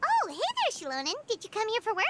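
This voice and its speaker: A woman voicing a small, excitable, childlike female game companion who is blunt and teasing, in a squeaky voice. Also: quick pace, very noisy, full character voice. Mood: chirpy. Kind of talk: animated video game voice acting. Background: loud music.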